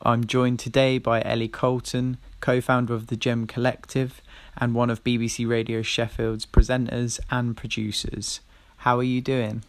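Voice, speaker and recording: A man's voice: mid-range voice, moderate pace. Chatty English male host. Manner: relaxed, chatty, lots of fillers. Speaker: show host and singer. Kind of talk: casual chat.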